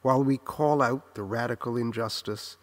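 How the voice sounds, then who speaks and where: A man in his sixties with an American accent, reading a reflective scripted memoir in a measured, literary, earnest way. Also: medium pitch. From Los Angeles, United States. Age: 60s. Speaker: memoir narrator.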